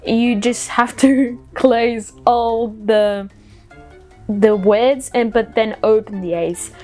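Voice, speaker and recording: A woman's voice: a little high, fairly even intonation, slow, darkish tone, soft background music. A woman with a foreign accent giving a casual explainer vlog, chatty and giggly in a self-deprecating way. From outside the UK. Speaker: vlogger.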